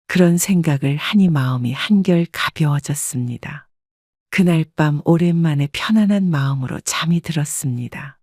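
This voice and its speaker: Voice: mid-range voice, a little mellow, no room sound, clean studio sound. A narrator reading a scripted first-person story in a composed storytelling manner.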